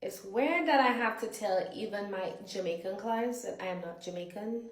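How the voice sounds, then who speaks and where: A woman's voice: mid-range voice, moderate pace. Casting: woman with a Dominica island accent, firm and emphatic, careful diction, recorded in an echoey room. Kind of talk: talking to camera.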